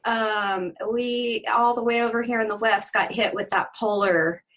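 A woman's voice: a little high, fairly even intonation, unhurried, fairly bright tone. A woman giving an informal, appreciative personal update on a group call. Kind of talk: casual personal check-in.

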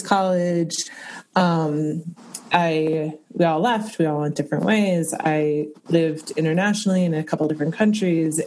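A woman's voice: low-pitched, darkish tone, slight background noise. A woman in a group session sharing something personal, hesitant and searching for words, over a connection that cuts in and out. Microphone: unstable call connection.

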